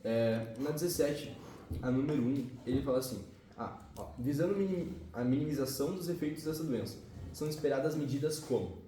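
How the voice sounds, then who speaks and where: A man's voice: medium pitch, brisk, warm tone, distant mic. Casual man teaching biology in an echoey classroom. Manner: informal, slangy, swears, checks in with tá bom. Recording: echoey classroom picked up from afar, noisy.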